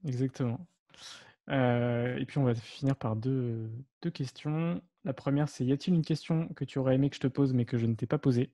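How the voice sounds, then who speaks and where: A man hosting a podcast, relaxed and agreeable in casual conversation with his guest. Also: medium pitch, moderate pace. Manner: relaxed, agreeable, brief interjections. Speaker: podcast host. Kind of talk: casual interview talk.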